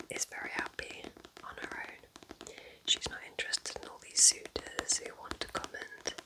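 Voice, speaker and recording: A British woman whispering softly in an unhurried, chatty ASMR style, gently rambling as a host. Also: poor audio. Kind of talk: whispered ASMR, gentle roleplay book chat.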